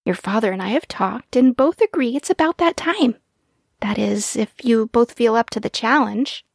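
A woman's voice: fairly high pitch, animated, normal speed, gravelly, husky, dry recording, studio quality, heavily acted. A woman voicing a proud, soothing mother animal in a children's story. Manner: soothing, reassuring.

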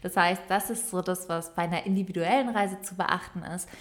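Young female podcast host, informal direct address, slightly low voice. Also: steady tone, fairly quick. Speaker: podcast host and volunteer travel organizer. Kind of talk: solo podcast talk to listeners.

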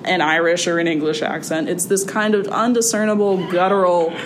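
A young woman, a college student, talking casually as an interview guest in a wry, animated way, with fillers and restarts. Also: a little fast, rough recording. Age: young adult. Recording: audible background noise with a low rumble.

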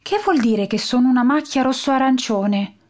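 A woman's voice: high voice, normal speed, a little mellow, pitched above the performer's own voice, natural voice. A woman voicing a doubtful young girl athlete who protests. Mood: protesting.

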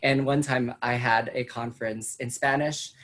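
A woman from the Philippines, a teacher whose first language is Chavacano, talks in an easy conversational way. Her voice sits very low for a woman. She fills pauses with ums and laughs often. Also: fairly even intonation, fairly bright tone. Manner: chatty, with frequent fillers and laughs. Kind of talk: casual conversation.

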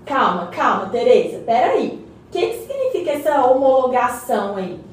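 A woman's voice: on the high side, moderate pace. A woman acting a frank, questioning community member in a radio play, speaking colloquially. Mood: puzzled, enthusiastic. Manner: colloquial, animated. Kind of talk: scripted educational radio play. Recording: very echoey, distant mic, faint noise.

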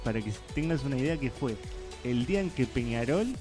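Chatty Uruguayan host. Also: male voice, mid-range voice, expressive, a little fast, music in the background. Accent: Uruguayan Spanish. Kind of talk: casual chat.

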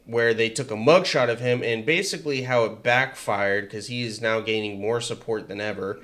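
A man co-hosting a casual political podcast, talking in energetic bursts with frequent restarts. He has a higher voice than his co-host. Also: normal speed. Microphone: headset mic with headphones.

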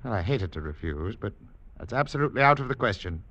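A fatherly, middle-aged ship's captain, firm and authoritative. He talks fast in a bright, forceful voice with animated pitch, in muffled old radio drama. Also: slightly rough, heavily acted. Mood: regretful. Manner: brisk, authoritative.

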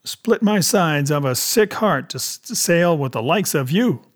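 A man's voice: fairly high pitch, animated, measured pace. Man playing a cunning, scornful pirate sea cook. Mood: scornful, scheming. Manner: emphatic, rustic seafaring speech. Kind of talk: dramatised adventure audiobook.

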